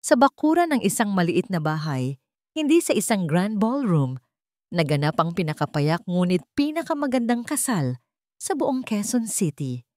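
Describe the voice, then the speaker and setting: Woman narrating a romance story, dramatic and very lively, dry voice. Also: mid-range voice, normal speed, no echo. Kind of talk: scripted narration.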